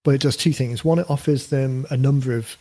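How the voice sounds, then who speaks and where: A man in the host's chair of a casual podcast, walking through marketing ideas. He thinks out loud, with plenty of ums and restarts, in a mid-pitched voice that has gone slightly croaky. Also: steady tone, fast talker. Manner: explanatory, thinks aloud with frequent fillers and restarts. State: voice getting a bit croaky. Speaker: podcast host, book marketing coach.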